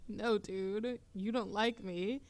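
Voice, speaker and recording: A woman's voice: medium pitch, fairly even intonation, slow, no echo, lightly acted. A woman playing a playful young witch smitten with a childhood friend, teasing and affectionate in an intimate romantic audio roleplay. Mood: fond, teasing. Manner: teasing, affectionate, breathy laughs and whispers.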